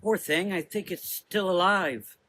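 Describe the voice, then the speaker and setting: A man voicing a brash, excitable young woman fighter in a gothic audio drama, blunt and slangy. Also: high-pitched, unhurried, slight background noise, voice pushed up. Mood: pitying.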